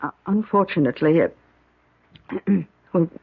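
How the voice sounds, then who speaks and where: An actor playing a grave doctor in a 1970s radio drama, speaking gently and haltingly. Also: male voice, fairly high pitch, lively intonation, gravelly, husky, dry recording, exaggerated voice. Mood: grave, hesitant. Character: hesitant, grave doctor breaking bad news gently. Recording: narrow, tinny old radio broadcast.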